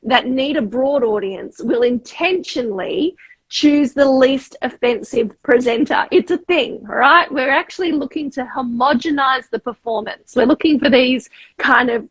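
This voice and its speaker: Podcast host: woman with a broad Australian accent, high husky voice, dry sound. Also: a little slow, no room sound, rough recording. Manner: emphatic, often tags "right?". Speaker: podcast host, media coach. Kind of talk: casual podcast chat.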